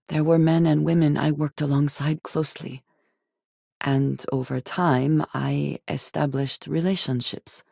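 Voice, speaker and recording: An actress playing a guarded, serious woman space smuggler in a video game, speaking in measured, formal sentences with dry reserve. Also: extremely low pitch, gravelly, mellow, husky, no echo, heavily acted. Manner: measured, formal speech with few contractions. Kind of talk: video game companion dialogue.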